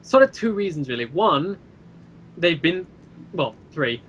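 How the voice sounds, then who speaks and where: Higher-voiced man on a muffled, noisy mic. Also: big pitch swings, unhurried, fairly bright tone. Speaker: podcast guest, creator of an audio drama series. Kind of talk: casual discussion. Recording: muffled band-limited mic with clear background noise.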